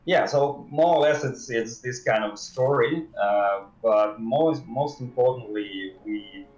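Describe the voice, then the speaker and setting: A man being interviewed as a game developer on a casual livestream, speaking in halting, hesitant phrases. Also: mid-range voice, a little mellow. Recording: dull, roomy sound.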